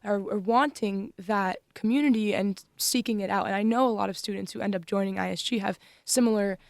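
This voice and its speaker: Young woman, from northern california, student, earnest, mid-pitched, dry sound. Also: a little fast, vocal fry, no room sound. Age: young adult. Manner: earnest, with frequent restarts. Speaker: student club member. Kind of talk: conversational discussion.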